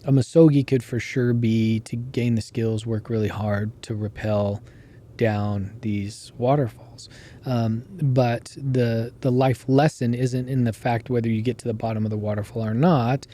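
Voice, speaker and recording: A man hosting a podcast, chatting casually with a hoarse voice that keeps going out and telling stories in an animated way. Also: medium pitch, a little slow. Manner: animated storyteller who laughs while telling. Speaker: podcast host. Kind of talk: casual interview chat.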